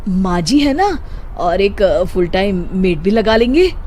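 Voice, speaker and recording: Lady doing a young husband in a cartoon story, slow n strained, bit of background noise. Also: medium pitch, very animated, dark tone, pitched below the performer's own voice. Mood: hesitant.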